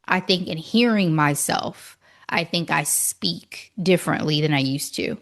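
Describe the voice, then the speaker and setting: A woman's voice: low voice, measured pace, fairly bright tone. A woman from Ohio with a slight Southern country twang, co-hosting a chatty podcast with dry humor. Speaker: podcast co-host. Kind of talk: casual pop-culture chat.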